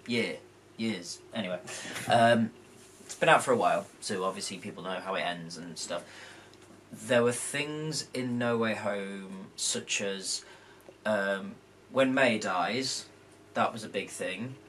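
Young male co-host, deep and croaky, unhurried, rambling, faint background noise. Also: fairly bright tone. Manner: rambling, self-correcting. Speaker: co-host vlogger. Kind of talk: casual film review chat. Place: bedroom at home.

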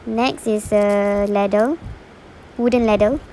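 A woman from Malaysia narrating a casual product unboxing in a plain, unhurried way, naming each item one by one. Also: mid-range voice, fairly even intonation, dark tone, a little hiss or hum, rough recording. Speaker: unboxing reviewer. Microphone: voice-over, speaker not seen.

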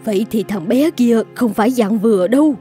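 A woman's voice: a little high, a little fast, dark tone, faint music behind, lightly acted. A woman voicing the bossy, fussy middle-aged wife of a rich official in a folk-tale cartoon. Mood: insistent.

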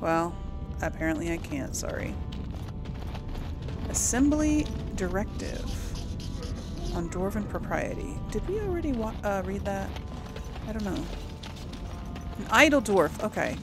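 A woman's voice: mid-range voice, sing-song, over loud music. A woman casually commenting on her gameplay, musing aloud and talking to herself. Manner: slow, musing, talks to herself. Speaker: gameplay host. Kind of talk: casual let's play commentary.

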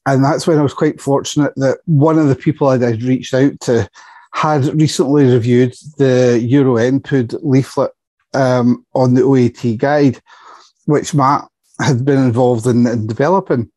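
A man presenting on a video call, steady and explanatory, with some fillers and restarts. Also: medium pitch, normal speed, no room sound. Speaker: specialist pharmacist. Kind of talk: panel presentation.

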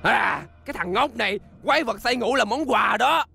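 An actor voicing a short-tempered, bossy cartoon alien villain who shouts and scorns impatiently. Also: mid-range voice, expressive, normal speed, creaky, soft background music, exaggerated voice. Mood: menacing, impatient. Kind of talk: animated cartoon dub.

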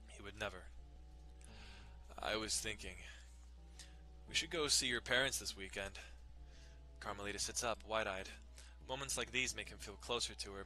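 Guy narrating a visual novel dramatically, lowish crisp forceful voice, soft music behind. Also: poor audio. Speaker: dramatic reader.

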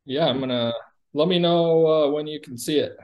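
A man's voice: a little high, moderate pace, a little mellow. A man in his forties giving an informal product demo over a video call in a plain-spoken, explanatory way. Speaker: company chief executive giving a product demo. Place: indoor room on a video call. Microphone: video call microphone.

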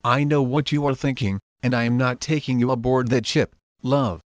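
A man's voice: medium pitch, steady tone, normal speed, no room sound, close to an everyday voice. A man voicing a wily, flippant pirate captain in a motion comic, talking in florid, roundabout phrases. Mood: flippant, evasive. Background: background music.

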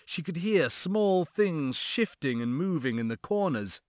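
A man's voice: on the high side, expressive, unhurried, bright, forceful tone, no echo, clean studio sound. A man narrating and hosting an audiobook, reading in a storytelling style. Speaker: audiobook narrator and channel host. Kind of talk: scripted dramatic narration with casual asides.

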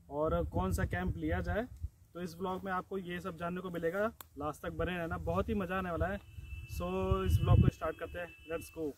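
A man in his thirties from India, a camping vlogger who chats casually while showing each step of pitching a tent on an open hillside. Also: noisy background. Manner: chatty, repeats "so doston", shows each step. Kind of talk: casual how-to vlog. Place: open hillside meadow outdoors.

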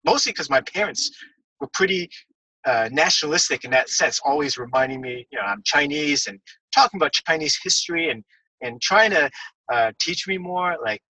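A man's voice: on the high side, pushed and bright, husky, no room sound, far from the mic, rough recording. A man in his thirties from Hong Kong, a guest educator talking thoughtfully in a casual podcast with many fillers. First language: English.

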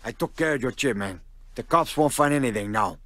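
A man's voice: medium pitch, lively intonation, fairly quick, fairly bright tone, faint music behind, lightly acted. An actor playing a brash, hot-tempered male drug lord in a video game cutscene, with a profane, swaggering way of talking. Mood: cocky. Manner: swaggering, profane, cursing constantly.

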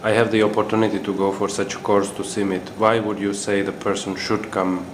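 A man interviewing a guest, asking plain, measured questions. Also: on the low side, little pitch movement. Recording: roomy sound with background hum.

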